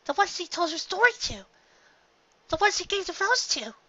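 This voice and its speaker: Guy doing a timid young pony girl for a story, high breathy falsetto, pleading, kinda dull recording. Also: very animated, moderate pace, sharp tone, no echo, full character voice. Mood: astonished, questioning. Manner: hesitant, pleading. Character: timid, soft-voiced young female pegasus, anxious daughter. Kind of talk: dramatic storybook reading.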